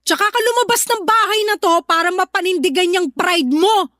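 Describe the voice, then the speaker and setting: A woman's voice: extremely high pitch, moderate pace, sharp tone, no echo. A woman with a Filipino accent acting a sarcastic, unforgiving elder sister in an audio drama, accusing and scolding.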